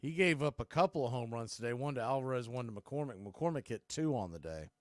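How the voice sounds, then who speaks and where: A man doing live radio-style baseball play-by-play as the announcer, making clipped pitch calls in short phrases. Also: animated, a little fast, dry recording, clean studio sound.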